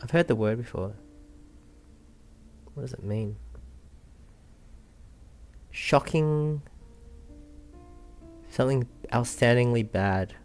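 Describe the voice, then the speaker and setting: A man's voice: mid-range voice, slow, pauses often, darkish tone, faint music behind. A man doing casual let's play commentary, musing aloud as he reacts to the game. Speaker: let's player.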